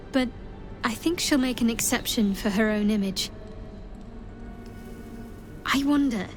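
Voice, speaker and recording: A high-voiced, devout young cleric woman. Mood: touched, grateful. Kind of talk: video game character dialogue.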